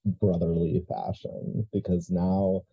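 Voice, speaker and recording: A man's voice: sits low, level tone, takes it slowly, slightly rough, muffled recording. A man playing a tabletop roleplay game, casually improvising narration for his character.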